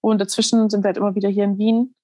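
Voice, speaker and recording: This is a woman, a little hoarse, speaking as a musician guest in a relaxed interview. She talks earnestly, with plenty of fillers and restarts. Her voice sits at a middle pitch and sounds dry and close. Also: racing, dry recording. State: slightly hoarse. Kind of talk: casual interview talk.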